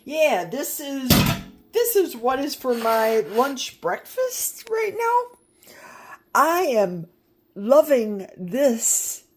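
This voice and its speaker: A woman's voice: high-pitched, sing-song, drawn-out words, a little hiss or hum. An older woman chatting casually to her camera while cooking at home, encouraging and a little wry. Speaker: vlogger. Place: home kitchen. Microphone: handheld camera.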